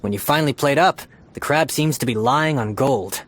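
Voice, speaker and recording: An actor playing a polite, helpful innkeeper in a video game, speaking in a courteous, formal and obliging way. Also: male voice, medium pitch, normal speed, natural voice. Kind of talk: scripted video game acting.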